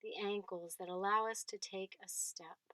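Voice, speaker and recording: A woman leading a guided body scan meditation, speaking in gentle short phrases with long pauses between them. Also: medium pitch, fairly even intonation, no room sound, poor audio.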